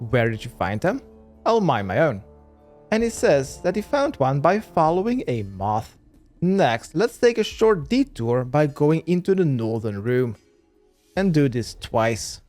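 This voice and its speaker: A man's voice: fairly high pitch, darkish tone. A man narrating an instructional video game walkthrough in a step-by-step manner. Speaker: gaming guide creator.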